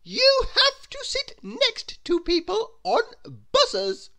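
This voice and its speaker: A woman's voice: very high voice, huge pitch swings, slow pace, bright, forceful tone, pitched above the performer's own voice, full character voice. A woman voicing a vain, snobbish grand lady from a children's storybook in a pompous, theatrical, disdainful manner. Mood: haughty disdain. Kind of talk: storybook character voice.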